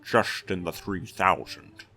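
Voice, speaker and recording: A man voicing a stoic, dangerous monster hunter with a resounding voice, calm and terse, in a fantasy audiobook. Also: low-pitched, slow pace, creaky, soft background music, heavily acted. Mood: flat, resolute. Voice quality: resounding, metallic. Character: stoic, dangerous male monster-hunting stranger.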